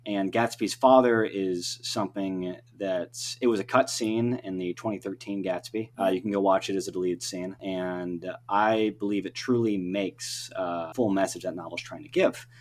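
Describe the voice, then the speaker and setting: Low-pitched man chatting as interview guest. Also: fairly even intonation, normal speed, hardly any pauses. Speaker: podcast guest, writer. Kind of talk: casual interview conversation.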